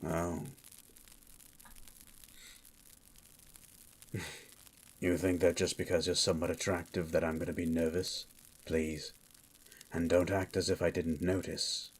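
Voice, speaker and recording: A man playing a gruff, suspicious monster hunter in an ASMR roleplay, with a guarded, dry manner. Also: little pitch movement, normal speed. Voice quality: low, gravelly-husky.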